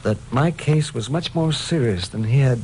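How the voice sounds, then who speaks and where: Casting: male actor as meek, desperate victim in vintage radio drama; medium pitch, hesitant, pleading, faint recording noise. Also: expressive, moderate pace, darkish tone, lightly acted. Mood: subdued recounting. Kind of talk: old-time radio crime drama acting. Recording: noisy old radio recording.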